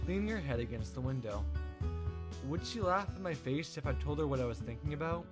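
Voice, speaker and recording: A man hosting a casual playthrough, reading a visual novel aloud with expressive storytelling. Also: a little high. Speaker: let's play host. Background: game music.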